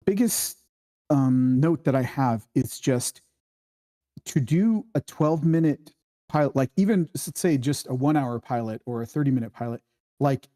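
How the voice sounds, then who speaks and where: A man giving casual spoken feedback in a script workshop, halting and informal as he thinks aloud. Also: medium pitch, mellow.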